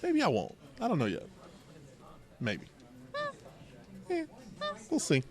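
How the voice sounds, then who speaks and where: A man's voice: on the high side, huge pitch swings, slow pace. A middle-aged American man hosting a podcast, telling stories conversationally with plenty of digressions and asides. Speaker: podcast host. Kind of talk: casual storytelling and banter. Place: local game store.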